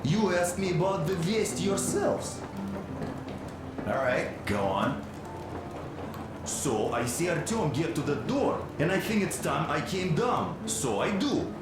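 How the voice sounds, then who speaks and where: Boastful soldier with swooping pitch. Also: male voice, big pitch swings. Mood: playful, boastful. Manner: theatrical, teasing, drawn-out storytelling. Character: boastful, jokey soldier spinning a tall tale. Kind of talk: video game cutscene banter and storytelling. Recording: very echoey, music and rain behind.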